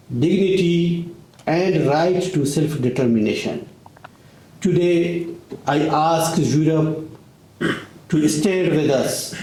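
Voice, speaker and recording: A man giving a formal prepared speech at a conference, measured and deliberate, pausing often. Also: on the high side, dark tone. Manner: measured, deliberate delivery with long pauses. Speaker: Baloch activist speaker. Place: conference room in parliament. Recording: echoey conference room.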